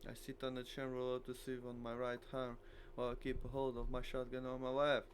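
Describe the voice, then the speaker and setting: A man's voice: mid-range voice, monotone, brisk. A man who natively speaks Portuguese, reading a visual novel aloud as a game streamer, with faint noise behind him.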